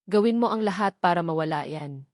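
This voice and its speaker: A woman's voice: lowish voice, animated, brisk, darkish tone, dry recording, clean studio sound, lightly acted. Woman voicing a cold, cruel young man. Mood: menacing. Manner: menacing, emphatic, dismissive. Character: cold, cruel young man rejecting his pregnant lover.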